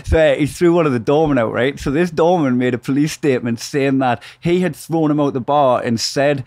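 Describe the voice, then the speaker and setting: A man's voice: mid-range voice, a little fast, a little mellow, dry recording. A man with a Newcastle Geordie accent telling sweary, blokey anecdotes in casual chat as a podcast guest. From Newcastle, north-east England.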